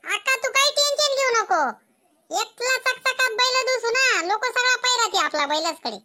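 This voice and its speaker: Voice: very high-pitched, lively intonation, moderate pace, pushed and bright, heavily acted. A performer voicing an eager, obedient young farm boy in a comedy cartoon, with an Ahirani accent.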